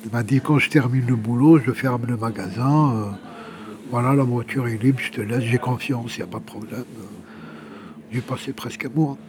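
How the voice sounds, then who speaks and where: A man's voice: mid-range voice, moderate pace, crowd noise. A man gives a personal testimony in informal, conversational language, repeating words as he searches for the next. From Algeria, Kabyle. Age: 60s. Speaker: interviewee, exiled journalist living homeless.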